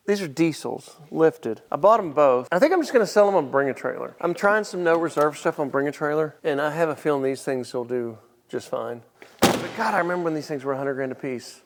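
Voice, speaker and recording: High-pitched, blunt middle-aged man. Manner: blunt, joking, salty-tongued. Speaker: vlog host and car dealer. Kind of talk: casual, ad-libbed walkaround vlog.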